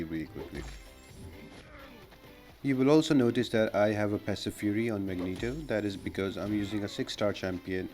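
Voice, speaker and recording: A man's voice: fairly low pitch, darkish tone. A man giving a casual, step-by-step walkthrough commentary as the host of a gaming guide. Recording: clearly noisy voice-over with background ambience.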